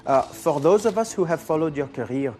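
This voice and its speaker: An actor playing a formal, probing sports journalist in a video game, measured and pointed with some hesitation. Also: male voice, a little high, animated, darkish tone, close to an everyday voice. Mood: challenging. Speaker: reporter. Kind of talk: video game cutscene acting.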